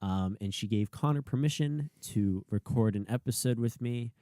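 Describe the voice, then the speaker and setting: A man's voice: mid-range voice, normal speed, warm tone, no room sound. A young man co-hosting a casual chat show, trading dry, teasing banter with his younger brother in a small home studio. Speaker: podcast co-host. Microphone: studio mic.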